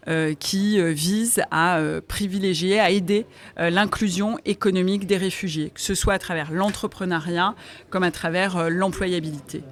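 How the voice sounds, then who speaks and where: A woman answering as an interview guest, in an articulate, explanatory way. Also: fairly low pitch. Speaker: interview guest, foundation president.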